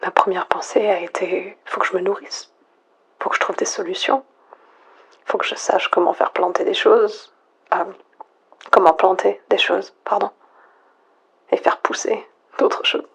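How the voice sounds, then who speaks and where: A woman playing a lonely, frightened last survivor, murmuring a halting, introspective audio log in a breathy, husky near-whisper. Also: mid-range voice, steady tone, exaggerated voice. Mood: lonely, bewildered. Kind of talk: hushed monologue, analog horror audio log.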